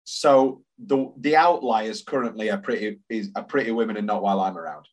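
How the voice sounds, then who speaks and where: A man's voice: fairly low pitch, brisk. A man co-hosting a podcast, chatting enthusiastically about musicals and reciting lyrics aloud. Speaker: podcast co-host. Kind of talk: casual chat about musicals.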